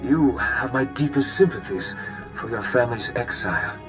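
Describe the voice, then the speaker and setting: An actor playing a formal, courteous and idealistic count in video game dialogue. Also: male voice, mid-range voice, moderate pace, music in the background, lightly acted. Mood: awed, gracious.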